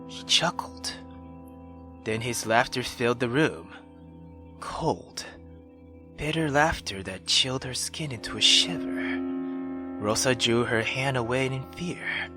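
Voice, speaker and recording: A man doing excitable, slangy let's play commentary and dramatic read-aloud narration of a visual novel. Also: medium pitch. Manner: theatrical, slangy, exclamatory.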